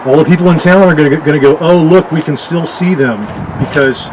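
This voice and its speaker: A woman at an outdoor community meeting with a loud, forthright way of speaking. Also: sits low, brisk. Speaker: resident. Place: outdoors by a roadside. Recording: outdoor noise.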